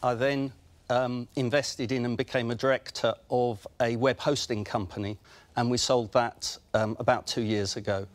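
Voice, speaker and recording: Entrepreneur, male, forties, English accent, measured answers under questioning. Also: medium pitch, fairly even intonation. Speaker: entrepreneur pitching. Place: large brick-walled studio, indoors.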